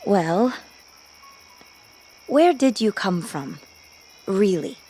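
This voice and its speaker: A woman playing a sweet, curious teenage girl in a radio drama, with a gentle, wondering manner. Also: medium pitch, big pitch swings, drawn-out words, a little hiss or hum, rough recording. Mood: tender curiosity.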